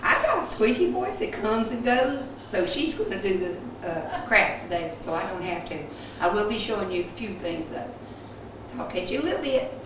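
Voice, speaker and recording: A woman's voice: medium pitch, very animated, normal speed. A woman hosting a casual craft show at a senior center, chatty and joking. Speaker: host. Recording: echoey far-off room with background noise.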